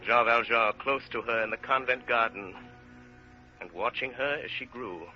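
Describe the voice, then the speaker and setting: A man narrating a period saga in 1930s radio drama style, solemn and measured, with dramatic pauses. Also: mid-range voice, lightly acted. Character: grave storyteller narrating a French period saga. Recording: muffled old radio broadcast, music behind.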